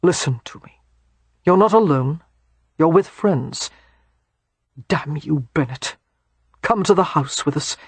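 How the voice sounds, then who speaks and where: A man's voice: fairly high pitch, lively intonation, slow pace, pauses often, breathy edge, no room sound, full character voice. A man voicing a steely, protective male war hero who speaks in a firm, clipped way. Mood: gentle.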